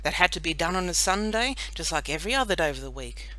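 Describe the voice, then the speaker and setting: A woman narrating a children's audiobook in an expressive, deliberate storytelling manner. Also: on the low side, poor audio. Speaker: audiobook reader.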